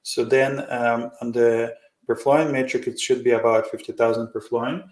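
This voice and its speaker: Male host, informal market commentary, wordy and circling, narrow pitch range, echoey room sound. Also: flat delivery, normal speed, a little mellow. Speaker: host of an investing commentary show. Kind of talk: informal off-the-cuff financial commentary.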